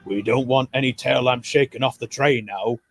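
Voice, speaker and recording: A man's voice: mid-range voice, normal speed, no room sound, soft background music, close to an everyday voice. A man voicing a hearty, pirate-talking male dockside engine in a storybook reading. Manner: pirate-like, hearty, says "arr" and "matey".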